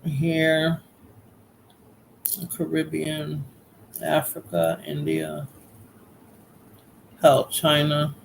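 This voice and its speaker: A woman's voice: sits low, very slow, creaky, long pauses, slight background noise, poor audio. A woman with a Bronx accent hosting a casual livestream chat, laughing often and repeating herself. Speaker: livestream host.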